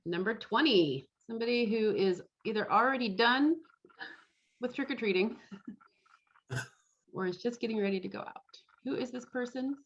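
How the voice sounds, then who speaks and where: A woman's voice: on the high side, normal speed, pauses often, husky. A woman casually hosting a quiz game over a video call. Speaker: quiz host at an online fan club meeting. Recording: dull, lo-fi video call audio.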